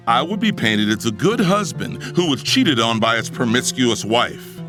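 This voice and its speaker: A man playing a shrewd, defiant businessman in an audio drama, smooth-talking and confrontational. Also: medium pitch, big pitch swings, normal speed, close to an everyday voice. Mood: taunting, threatening. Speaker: first-person narrator and character. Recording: music playing behind the voice.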